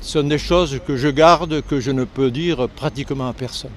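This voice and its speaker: An older man with a southern French accent from Lozère speaks earnestly, pausing and restarting when the subject turns painful. His voice sits at an ordinary middle pitch. Faint urban noise hums around him outdoors. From Lozère, southern France. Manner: earnest, halting when emotional. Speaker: interviewee, retired air force serviceman. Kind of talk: street interview. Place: outdoors on a city street.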